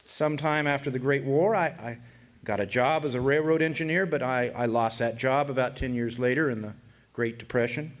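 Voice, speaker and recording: Radio play narrator: a man as a weathered, folksy older drifter, reflective and halting, mid-range voice. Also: medium pitch, natural voice. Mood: wistful, weary. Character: world-weary older male hobo narrator, reflective war veteran. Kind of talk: radio drama narration, reminiscing.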